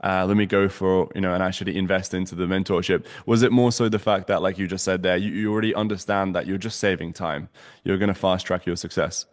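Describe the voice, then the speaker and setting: A man's voice: low voice, flat delivery, fast, big-room sound. A man hosting a casual interview, upbeat and promotional, asking long winding questions. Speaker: host, agency mentor.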